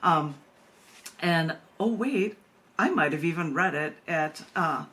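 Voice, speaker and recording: A woman poet reading at a live open mic, animated and self-amused, with rambling, chatty asides. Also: sits low, slow.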